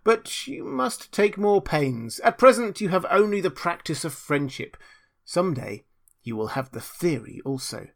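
A man's voice: high-pitched, big pitch swings, measured pace, gravelly, voice pushed up, heavily acted. A man voicing a pompous, self-righteous, hearty miller in a fairy tale, with an emphatic moralising delivery. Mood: patronising.

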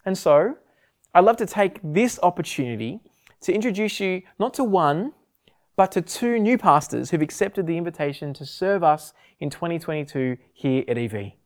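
A man's voice: fairly high pitch, animated, normal speed. Earnest pastor addressing his congregation. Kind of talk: church announcement to congregation.

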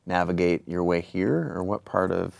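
A man's voice: deep voice, moderate pace, vocal fry, no echo. A man hosting a podcast interview, asking thoughtful, searching questions. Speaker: podcast host.

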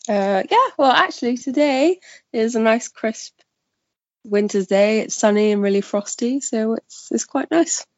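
A young woman from Wales with a creaky voice, chatting casually as an interview guest. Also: on the high side, expressive, a little slow, dry recording, muffled recording. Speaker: podcast guest, freelance journalist.

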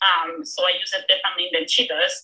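A woman's voice: on the low side, flat delivery, fast, sharp tone, rough recording. A woman with a Spanish-speaking accent, a Spanish native speaker, chatting earnestly on a live video call and restarting words often. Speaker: guest co-host. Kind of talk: casual live chat.

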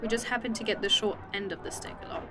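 A woman's voice: medium pitch, steady tone, lightly acted. An actress playing a snarky, teasing woman time traveler with a fake arm in an indie audio drama. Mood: wry. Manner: dry, teasing, quick-witted.

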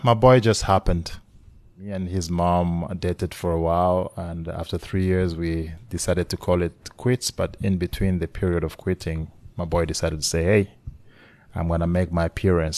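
Congo-born man, forties, remote podcast guest; very low, reflective storyteller. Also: a little mellow, no room sound. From Democratic Republic of the Congo. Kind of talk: casual remote interview. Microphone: remote call.

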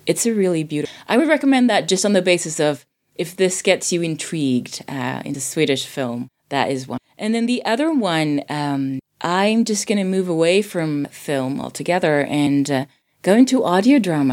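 Woman podcast guest, really low voice, chatty, explains stuff and repeats bits to stress them. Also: expressive, moderate pace, a little mellow. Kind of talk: casual recommendation chat.

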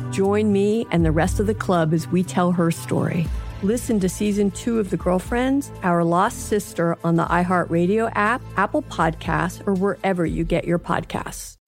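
A woman's voice: on the low side, a little mellow. Woman podcast host over music. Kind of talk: scripted promo narration. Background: music bed.

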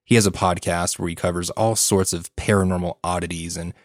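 A man co-hosting a podcast with casual talk and dry, deadpan jokes. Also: low voice, brisk, dry recording. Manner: dry joking, deadpan banter. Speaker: podcast co-host. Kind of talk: casual interview chat.

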